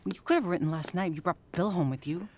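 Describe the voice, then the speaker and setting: A woman playing a feisty, teasing, hard-drinking 1930s wife in an audio drama, sassy and quick to needle. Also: fairly low pitch, a little mellow, a little hiss or hum, natural voice. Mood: combative.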